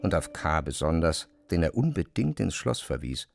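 A man's voice: extremely low pitch, very animated, moderate pace, creaky, a little mellow, no room sound, clean studio sound, faint music behind. A man narrating dramatised classic literature as a scripted storyteller.

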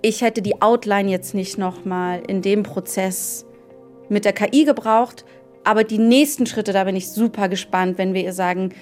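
A woman co-hosting, thinking out loud in a casual, reflective discussion. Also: medium pitch, fairly even intonation, normal speed, faint music behind. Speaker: co-host.

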